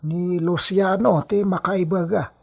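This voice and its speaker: A respectful older farmhand played by a man in a radio drama. His voice is husky, and he speaks with hesitation. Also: high-pitched, fairly even intonation, unhurried, darkish tone, full character voice. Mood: hesitant, respectful.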